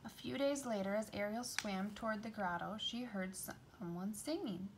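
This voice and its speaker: A woman's voice: medium pitch, moderate pace. A woman reading a children's storybook aloud as a storyteller. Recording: noticeable background noise.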